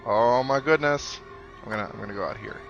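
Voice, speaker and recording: A man's voice: mid-range voice, a little fast, creaky, muffled recording. A man giving casual video game commentary as a let's play host, thinking aloud and reacting as he goes. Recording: loud game music behind. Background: video game music.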